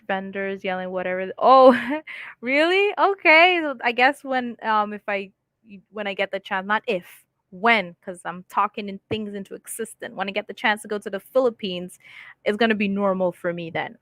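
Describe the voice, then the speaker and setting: A woman hosts a casual live stream from home, reading viewer comments aloud and laughing easily. Her voice sits at a middle pitch. The sound is dry and close, with no room echo. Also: normal speed, fairly bright tone. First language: English.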